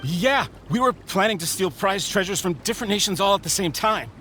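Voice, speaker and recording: A man playing a jumpy, eager bandit underling in a video game, speaking excitably. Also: high voice, fairly quick, slight background noise. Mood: confessing.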